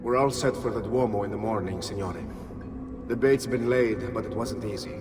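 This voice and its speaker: A man's voice: on the low side, moderate pace, natural voice. An actor playing an arrogant, scornful nobleman plotting murder, with a contemptuous, assured manner. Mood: businesslike, scornful. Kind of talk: video game cutscene acting. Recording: cavernous reverb under loud music.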